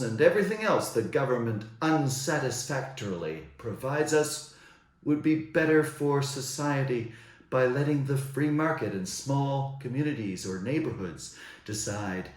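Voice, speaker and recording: A man's voice: medium pitch, echoey room. A man from the United States, delivering an indignant, rhetorical scripted monologue. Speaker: commentator.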